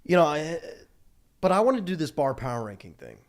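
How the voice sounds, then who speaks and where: A man's voice: on the high side, sing-song, fairly quick. A twenty-year-old man hosting a casual podcast in a small studio, talking loosely with lots of fillers and restarts. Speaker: podcast host. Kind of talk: casual chat. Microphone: studio mic on boom arm.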